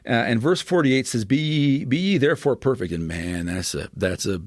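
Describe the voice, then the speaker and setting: A man on a podcast as a guest, explaining scripture in an animated, expressive teaching manner with frequent restarts. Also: mid-range voice, normal speed, no echo.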